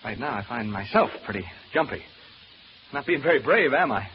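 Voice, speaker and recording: Actor doing a nervous stammering radio host guy in a spooky house, swoopy pitch, muffled hissy old horror show. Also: lively intonation, normal speed, close to an everyday voice. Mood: jumpy. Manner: nervous, stammering, rambling, giggly asides to listeners. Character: jittery, rambling radio broadcaster alone in a haunted house, slowly unraveling. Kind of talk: old-time radio horror drama acting. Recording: muffled old radio recording, background hiss.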